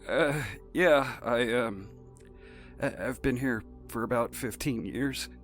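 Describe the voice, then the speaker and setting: A man playing a timid, long-jailed middle-aged prisoner who speaks haltingly, with stammers, in an audio drama. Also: medium pitch, fairly bright tone, soft background music. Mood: nervous, halting.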